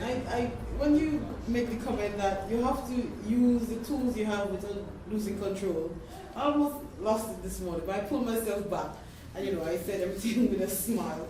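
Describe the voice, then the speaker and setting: A woman telling a personal story in an animated way during a group discussion. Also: medium pitch. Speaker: community member speaking at a gathering. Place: echoey indoor room. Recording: very echoey room, distant mic, noisy.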